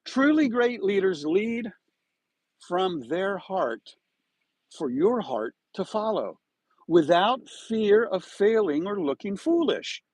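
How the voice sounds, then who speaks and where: Husky-voiced man giving a motivational pep talk. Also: high-pitched, big pitch swings, darkish tone, no room sound. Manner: preachy, emphatic, with drawn-out pauses. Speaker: live-stream host. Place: parked car with window open on a drizzly day.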